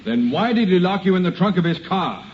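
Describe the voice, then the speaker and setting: An actor playing a fussy, officious middle-aged town mayor in a radio comedy. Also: male voice, sits high, animated, fairly quick. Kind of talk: 1950 radio comedy acting. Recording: very noisy muffled vintage radio recording.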